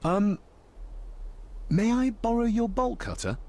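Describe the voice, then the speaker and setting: An actor playing a curious, courteous but impatient young gentleman heir in a video game drama, formal and questioning. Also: sits high, big pitch swings, slow, full character voice. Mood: earnest, curious. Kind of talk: video game drama dialogue and inner monologue.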